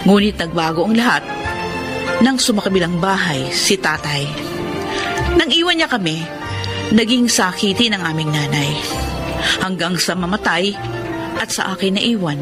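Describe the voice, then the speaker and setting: An actress playing a caring eldest sister who tells her life story in a radio drama, with a Filipino accent. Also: fairly low pitch. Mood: sad, reflective. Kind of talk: radio drama narration and dialogue.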